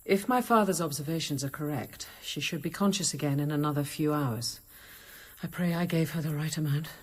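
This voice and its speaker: An actress playing a discreet, thoughtful convent apothecary nun in a radio drama, quiet and earnest in both narration and dialogue. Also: female voice, low-pitched, fairly quick, no room sound. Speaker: narrator and lead character.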